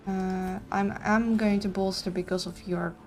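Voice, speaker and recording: A woman, streaming a game and thinking aloud in a casual, halting way. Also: mid-range voice, steady tone, a little mellow, soft background music. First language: Dutch. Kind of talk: casual live-stream commentary.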